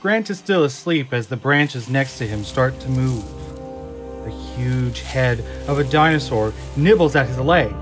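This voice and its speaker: A man delivering dramatic scripted narration as the narrator of an audio drama. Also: medium pitch. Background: music and sound effects.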